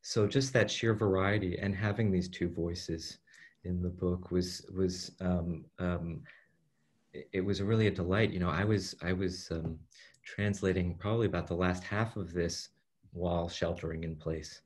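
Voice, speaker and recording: A man's voice: sits low, steady tone, moderate pace, darkish tone, breathy edge. A middle-aged man, a translator, talking casually and hesitantly on a video call, with lots of fillers and restarts. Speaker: translator guest. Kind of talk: casual conversational talk. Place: room at home with bookshelves.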